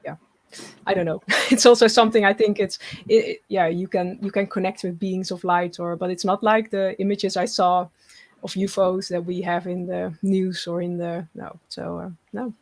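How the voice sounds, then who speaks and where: A woman's voice: fairly low pitch, flat delivery, dry recording. Earnest woman, dry close sound. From Netherlands. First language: Dutch. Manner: earnest, halting with frequent restarts. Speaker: guest. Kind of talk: casual interview chat.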